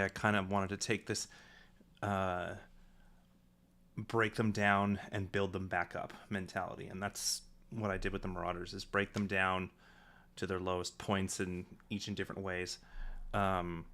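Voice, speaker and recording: A man's voice: sits low, little pitch movement, a little slow, sharp tone. A man hosting a casual livestream, giving director's commentary in an informal, chatty style. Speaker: livestream host and drama director.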